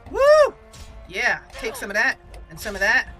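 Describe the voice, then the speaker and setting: A woman hosting a roleplay game commentary, excitable and exclaiming, reading some lines in character. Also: medium pitch, brisk, over music. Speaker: gaming channel host.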